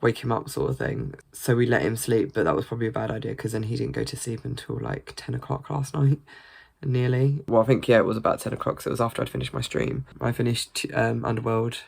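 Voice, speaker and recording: A woman's voice: rumbling low, lively intonation, fast talker, vocal fry, a little mellow, husky. A British woman chatting casually in a vlog, rambling and swearing freely, sounding a bit congested. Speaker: gaming vlogger.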